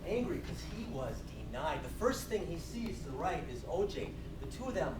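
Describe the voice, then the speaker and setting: A man's voice: fairly high pitch, sing-song. American male storyteller, folksy and theatrical, humorous club speech, recorded from a distance in a noisy, echoey room. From North Dakota, USA. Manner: theatrical, folksy, dramatic pauses. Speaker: Toastmasters speaker telling a tall tale.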